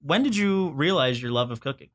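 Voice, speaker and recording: A man's voice: a little high, animated, brisk, dry recording, studio quality. A man hosting a talk show, upbeat and joking as he introduces guests and promotes the show's causes. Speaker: show host.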